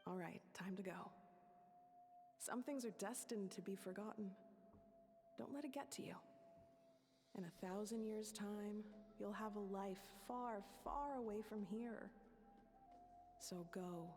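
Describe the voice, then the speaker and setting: A slow, earnest female voice with long reverb, acted by a woman. She is a proud, caring heroine of a fantasy game, reassuring and unbending. Her words come deliberately and ring out as in a great hall. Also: medium pitch, a little mellow, faint music behind, lightly acted. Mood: reassuring, resolute. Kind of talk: dramatic video game voice acting.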